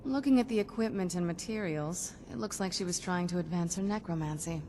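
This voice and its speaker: A woman voicing a shrewd, composed young female vampire necromancer in a video game, speaking in a measured, dry, musing way. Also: on the low side, darkish tone, slight background noise, natural voice. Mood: musing, intrigued. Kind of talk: video game character dialogue.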